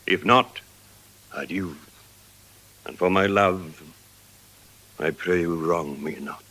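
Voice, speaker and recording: An actor playing a sardonic, calculating moneylender in a theatrical, deliberate Shakespearean drama style. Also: male voice, deep voice, bright, forceful tone, heavily acted. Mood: wounded, sardonic. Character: Shylock, sardonic calculating male moneylender of Venice. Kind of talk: classical Shakespearean stage acting in audio drama.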